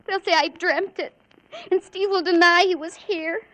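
High-voiced, frightened young woman. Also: fairly even intonation, normal speed, fairly bright tone, slight background noise, poor audio. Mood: distraught. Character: frightened, distraught young woman, pleading daughter. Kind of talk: 1950 radio crime drama acting. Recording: old radio recording.

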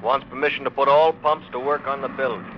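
Anxious ship's officer male actor, urgent clipped reports, medium pitch, dull vintage radio. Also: fairly even intonation, fairly quick, natural voice. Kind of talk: 1950s radio drama acting. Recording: muffled, faintly noisy old radio recording.

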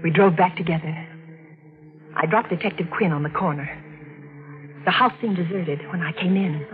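A woman's voice: fairly low pitch, natural voice. A woman playing an anxious but determined wife in a 1940s radio suspense drama, with dramatic intensity. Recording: dull vintage radio recording with music.

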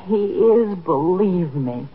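An actress playing a worried, remorseful middle-aged housewife and daughter in a 1950s radio drama, speaking earnestly and hesitantly. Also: female voice, on the low side, animated, slow pace. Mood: tender, pleading. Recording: dull, noisy 1950s radio recording.

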